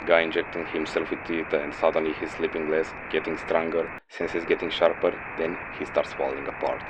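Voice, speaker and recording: A man's voice: a bass voice, little pitch movement, a little fast. A man playing a jaded, sardonic mercenary in a game audio drama, blunt and grumbling in his delivery. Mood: dry, sardonic. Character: jaded, sardonic male mercenary codenamed Phantom. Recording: dull lo-fi audio, noisy vehicle-like background.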